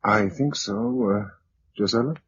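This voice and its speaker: A man's voice: mid-range voice, unhurried, fairly bright tone, husky. An actor playing a practical, dry young British man in a radio drama, speaking in a clipped, matter-of-fact way. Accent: British English. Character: practical, dry young Englishman surviving a catastrophe. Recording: muffled radio drama recording, faint noise.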